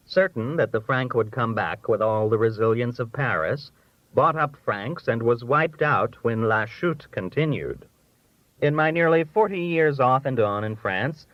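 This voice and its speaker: A man reads a humorous essay aloud as an audiobook narrator. His delivery is measured and expressive, with a dry storytelling touch and a medium pitch. The recording is close and dry, with a slightly dull, lo-fi tone. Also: no room sound. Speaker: audiobook reader.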